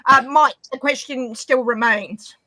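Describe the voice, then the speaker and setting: A woman's voice: high-pitched, pushed and bright, poor audio. Bantering Australian woman co-host. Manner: bantering, quick comebacks. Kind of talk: casual chat.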